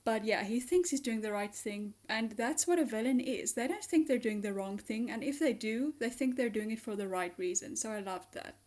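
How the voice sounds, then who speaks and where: Young woman reviewer, high voice, raspy and hoarse from illness, chatty and gushing. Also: moderate pace, hardly any pauses, darkish tone. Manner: gushing, chatty, with many fillers. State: hoarse from being sick. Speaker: show reviewer. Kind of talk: casual spoken review.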